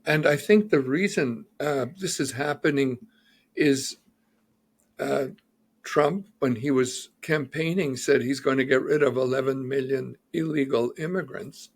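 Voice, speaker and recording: A man's voice: mid-range voice, unhurried. A man who is an immigration lawyer, methodically explaining legal news in an interview and citing figures and reports.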